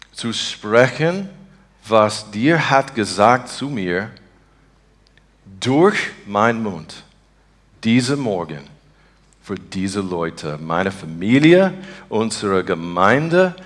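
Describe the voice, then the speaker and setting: A man from Texas with an American accent, a native English speaker, preaching and praying earnestly in halting, broken beginner's speech as he searches for words. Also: on the low side. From Texas, United States. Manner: earnest, devout. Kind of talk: informal sermon and spontaneous prayer.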